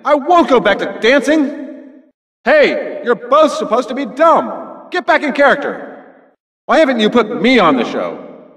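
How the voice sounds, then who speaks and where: An actor playing a smug, jealous, nerdy game designer villain in a cartoon video game, boastful, theatrical and petulant. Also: male voice, on the high side, a bit bright, heavily acted. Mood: whiny, panicked. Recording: echoey, long reverb.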